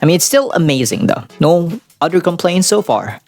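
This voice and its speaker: A man's voice: on the high side, very animated, moderate pace, creaky, a little mellow. A man giving casual, opinionated video essay commentary, informal and sweary, with dramatic asides. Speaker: anime reviewer. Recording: background music under voice. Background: music.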